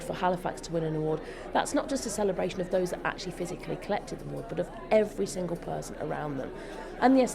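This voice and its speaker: Female executive interviewee, lowish voice, emphatic and gushing, speaking over a busy crowd. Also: gravelly. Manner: effusive, emphatic. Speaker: interview guest, joint chief executive of a theatre company. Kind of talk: enthusiastic interview talk. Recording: busy crowd chatter behind voice. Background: event crowd.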